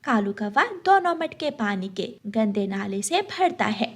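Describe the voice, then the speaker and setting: A woman's voice: mid-range voice, big pitch swings, a little slow. A woman narrating a scripted children's cartoon story as a storyteller.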